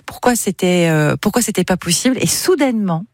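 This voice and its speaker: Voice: mid-range voice, animated, brisk, no room sound, clean studio sound. A radio host conducting a casual music interview in an effusive, enthusiastic manner.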